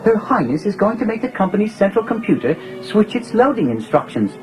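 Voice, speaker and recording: A man's voice: sits high, big pitch swings, a little fast, low-quality recording. An actor voicing a fussy, prim male protocol droid who speaks formally. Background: music.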